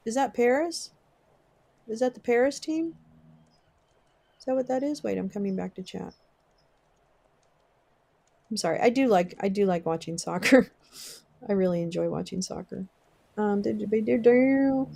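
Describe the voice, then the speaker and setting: A young woman hosting a casual livestream, chatting with viewers, swearing and laughing often, her voice wearing hoarse. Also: fairly high pitch. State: losing her voice. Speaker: livestream host. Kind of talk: casual livestream chat reading viewer comments.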